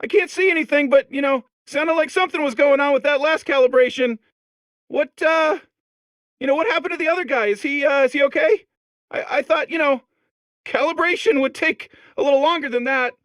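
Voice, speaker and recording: A extremely high-pitched little male robot from a comedy game. He is timid and worried, tripping over syllables as he rambles. The sound is dry, with no room around it. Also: a little fast, fairly bright tone, no room sound. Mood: anxious. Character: anxious, timid, stammering male robot core. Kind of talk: comedic video game voice acting.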